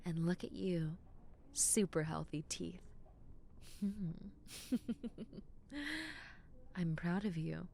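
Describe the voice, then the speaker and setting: A woman playing a soft, slow-spoken dentist. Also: fairly low pitch, steady tone, vocal fry, slight background noise, full character voice. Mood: soothing. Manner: soft, gentle and reassuring, slipping into whispers; playful, with frequent soft giggles. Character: kind, caring adult female dentist with a teasing side. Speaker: voice actress in audio roleplay. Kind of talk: ASMR roleplay.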